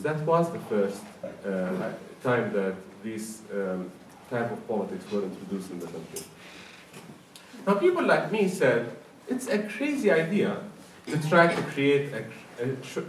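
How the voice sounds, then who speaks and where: A man from Iraq with an Iraqi accent gives an explanatory public talk in an emphatic, halting way, often repeating words. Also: mid-range voice. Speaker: speaker at a public forum. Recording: roomy, distant mic with faint noise.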